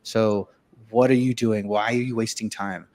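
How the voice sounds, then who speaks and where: A man's voice: on the low side, steady tone, moderate pace, a little mellow. A man born in Venezuela, a filmmaker and musician, telling his life story in a casual interview with many fillers and restarts.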